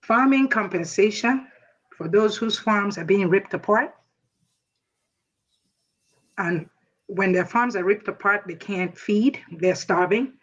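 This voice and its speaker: Older Nigerian man, 60s, husky activist orator, earnest and emphatic, dull lo-fi sound. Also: high-pitched, takes it slowly, long pauses, a bit bright. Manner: earnest, emphatic, asks rhetorical questions and answers them himself. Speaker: activist guest speaker. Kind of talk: impassioned speech to an audience. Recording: dull lo-fi audio.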